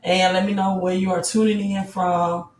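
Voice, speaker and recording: Hoarse, raspy woman livestreaming. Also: mid-range voice, level tone, normal speed, a little hiss or hum. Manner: greets viewers, urges likes and subscribes. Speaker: crypto livestream host. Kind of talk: casual livestream chat. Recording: roomy, slightly distant mic.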